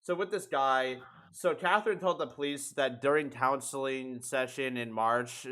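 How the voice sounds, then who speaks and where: Bright, forceful man hosting a profane podcast. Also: mid-range voice. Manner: profane, emphatic, indignant. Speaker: podcast host. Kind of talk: casual podcast chat, reading a news story aloud.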